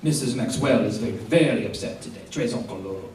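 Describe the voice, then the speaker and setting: An actor playing a fussy French hairdresser with a French accent in a stage radio play. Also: male voice, medium pitch, brisk, a little mellow, natural voice. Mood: concerned. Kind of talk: old-time radio theater acting. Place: theater stage, recorded from the audience. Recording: echoey stage, far microphone, noisy.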